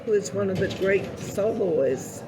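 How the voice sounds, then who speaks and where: An older woman with a hoarse voice, speaking earnestly and reflectively as a guest in a conversational interview. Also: mid-range voice, animated, measured pace, crowd noise, rough recording. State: hoarse voice after illness. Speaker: podcast guest, community leader.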